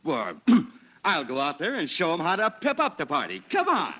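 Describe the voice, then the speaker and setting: A man's voice: a little high, wildly swooping pitch, normal speed, bright, forceful tone, husky, exaggerated voice. An actor playing a self-assured middle-aged father in a 1940s radio sitcom, hearty and easily sputtering, on an old recording.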